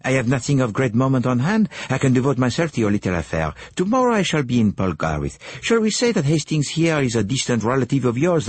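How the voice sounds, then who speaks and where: A man's voice: medium pitch, a little mellow, husky, no echo, pitched above the performer's own voice. A man voicing a courteous, precise middle-aged foreign detective, asking formal, businesslike questions in an audiobook.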